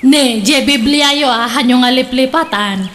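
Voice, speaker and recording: A woman's voice: high voice, normal speed, fairly bright tone, a little hiss or hum. A woman playing a fretful, easily led young woman in a comedic radio drama, speaking with a Filipino accent. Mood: mildly complaining.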